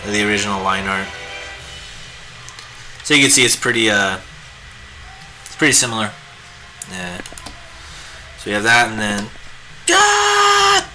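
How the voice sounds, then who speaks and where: A hoarse, raspy man chatting casually and rambling with lots of fillers while he draws on a live stream. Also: lowish voice, huge pitch swings, measured pace, pauses often, pushed and bright, poor audio. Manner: laid-back, rambling, many fillers. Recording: music playing behind the voice. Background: guitar music.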